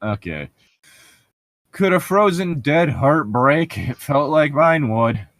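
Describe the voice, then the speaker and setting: A man's voice: on the high side, big pitch swings, slow, fairly bright tone, dry recording. A man doing casual, mocking read-along commentary as a show host, jokey and profane as he rambles. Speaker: host of a comedic book-reading show.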